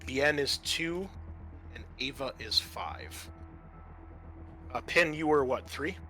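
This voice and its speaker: A man's voice: medium pitch, expressive, slow, sharp tone, soft background music. A man running a tabletop role-playing game as game master, talking casually and swearing freely.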